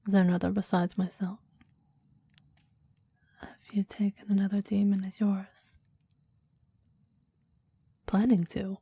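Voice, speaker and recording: A woman playing a clingy, deadly demon devoted to a master, in a breathy ASMR roleplay whisper with formal, old-fashioned phrasing. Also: mid-range voice, dark tone, no room sound. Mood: wary, possessive. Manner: formal, archaic, reverent phrasing.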